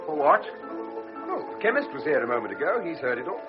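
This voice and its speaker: A lively, teasing fellow officer. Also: male voice, fairly high pitch, fairly quick, over music. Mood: jovial. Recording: dull lo-fi radio broadcast.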